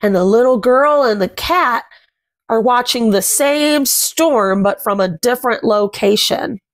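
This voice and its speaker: A woman's voice: a little high, a little slow, no echo. A young woman reading a picture book aloud to small children, expressively and with sing-song phrasing, chatting about it as she goes. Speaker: children's read-aloud host. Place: small indoor room at home.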